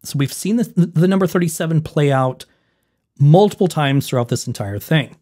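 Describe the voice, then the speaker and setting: A man hosting a video, talking casually to his viewers with a slightly hoarse voice, rambling and correcting himself as he explains. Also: fairly high pitch, expressive, normal speed, dark tone. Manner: rambling, self-correcting, addresses viewers as folks. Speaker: video host.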